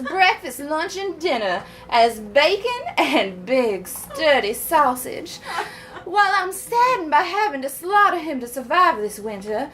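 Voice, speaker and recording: A woman's voice: very high-pitched, fairly bright tone, slight background noise, full character voice. A woman voicing a prim but bawdy Civil War wife who pines in letters, genteel, overwrought and melodramatic, for comedy. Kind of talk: comic dramatic letter reading for a live audience.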